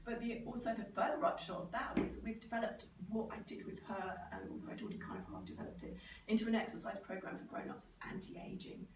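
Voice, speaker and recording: A middle-aged British woman with a croaky, sore-throated voice, giving an informal, animated talk and sometimes mimicking other people. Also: on the high side, fast. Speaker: speaker, neurodevelopment specialist. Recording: roomy, distant microphone, clear background noise.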